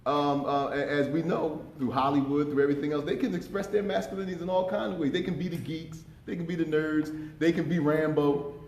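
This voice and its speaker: Impassioned deep-voiced man in his fifties. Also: fairly even intonation, brisk, a little mellow, slight background noise. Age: 50s. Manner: impassioned, emphatic, repeats words when pressing a point. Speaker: panelist. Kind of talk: conference panel talk. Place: conference room. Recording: echoey conference room.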